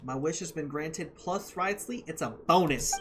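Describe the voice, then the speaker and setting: Low-voiced woman streaming a game, slangy. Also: moderate pace, very noisy. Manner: slangy, flirtatious joking. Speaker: gameplay streamer. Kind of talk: casual reaction commentary over a game. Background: game audio and music.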